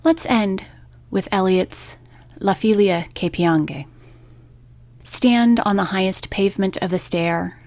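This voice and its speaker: A woman hosting a poetry radio program, reading from a script and reciting verse with measured, deliberate phrasing. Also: fairly low pitch, no echo.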